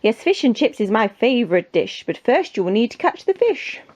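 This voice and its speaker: A fussy, hungry king from a picture book, put on by a woman. He declaims in a high, lively voice, stretching words with commanding emphasis. The sound is a little dull. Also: normal speed. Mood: hearty, commanding. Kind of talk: acted children's picture book reading.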